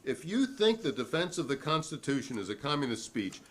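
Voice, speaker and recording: A man's voice: mid-range voice, sing-song, brisk, lightly acted. An American man reading the part of a defiant, principled witness at a 1950s hearing in an emphatic, oratorical way. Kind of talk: dramatic reading of a hearing transcript.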